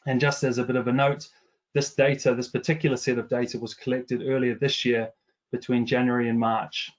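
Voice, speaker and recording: A man's voice: medium pitch, little pitch movement, highs cut off. A middle-aged man from New Zealand with a slightly anglicized New Zealand accent, presenting survey findings in a webinar, with frequent ums and restarts. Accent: New Zealand, partly anglicized after years in England. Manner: many fillers and restarts, measured business tone. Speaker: commercial director presenting research.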